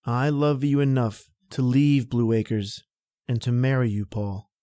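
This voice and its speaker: An actor playing an insistent young husband in an old-time radio drama, earnest and urging. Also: male voice, medium pitch, fairly even intonation, measured pace, dark tone, dry recording, clean studio sound, natural voice.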